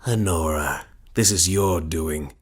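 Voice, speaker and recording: A man's voice: sits low, slow, husky, heavily acted. A man playing a cold, domineering husband and father in a period audio melodrama, imperious, scornful and sardonic.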